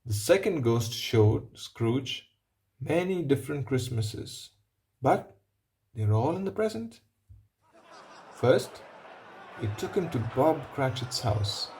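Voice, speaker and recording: Slow, expressive male storybook reader. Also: medium pitch. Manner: pauses to ask and explain to young listeners. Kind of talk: read-aloud narration for children.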